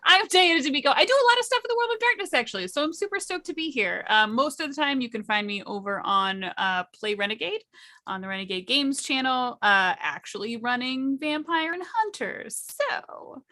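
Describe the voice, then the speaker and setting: Female stream guest, high and bright, lively joking delivery. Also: a little fast, no echo, clean studio sound. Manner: joking, exuberant. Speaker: guest player on a game stream. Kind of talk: casual live stream chat.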